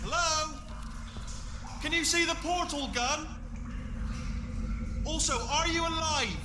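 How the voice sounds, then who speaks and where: An actor with a British accent voicing a nervous, bumbling, friendly robot sidekick in a video game, rambling in an excitable, matey way. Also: male voice, very high-pitched, slow pace, noisy background. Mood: anxious, rambling. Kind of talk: improvised-sounding comic game dialogue.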